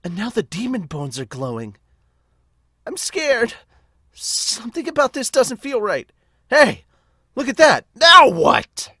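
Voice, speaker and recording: A frightened villager, played by a man exclaims in bewilderment. The voice is pitched high and swings about nervously. It has an anxious, forceful edge in an amateur manga dub. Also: wildly swooping pitch, slow, long pauses, no room sound, clean studio sound, exaggerated voice. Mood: frightened, bewildered.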